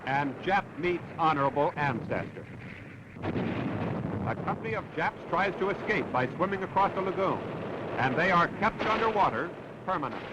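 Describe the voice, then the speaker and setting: Old 40s american war newsreel guy, declaiming, pretty high voice, really loud noise and battle booms behind him. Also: very animated, normal speed. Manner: dramatic, declamatory. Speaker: newsreel narrator. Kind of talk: 1940s wartime newsreel narration, scripted. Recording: dull, very noisy 1940s newsreel soundtrack.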